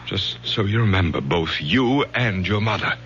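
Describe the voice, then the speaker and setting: A man's voice: low voice, expressive, moderate pace, fairly bright tone, slight background noise. An actor playing a controlling, menacing professor father who gives urgent orders and stammers over his threats in a radio drama. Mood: tense. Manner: commanding, urgent, then stammering to take back threats. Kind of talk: 1970s radio drama acting. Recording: dull lo-fi old radio broadcast.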